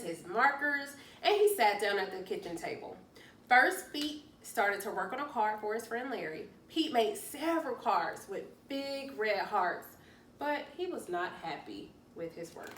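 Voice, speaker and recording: A woman teacher reading a story aloud to young children, expressive and deliberate, stretching key words for emphasis. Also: a little high. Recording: roomy, distant mic, faint noise.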